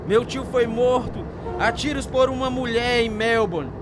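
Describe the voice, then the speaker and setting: High-voiced, bitter, vengeful young man. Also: a little slow, background noise. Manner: bitter, defiant. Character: resentful hot-headed young man, vengeful drinker. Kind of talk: radio drama acting.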